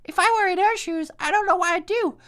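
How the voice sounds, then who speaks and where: A man voicing a naive, earnest young woman in a visual novel, in falsetto exclamations. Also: fast talker, bright, forceful tone. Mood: sympathetic, earnest.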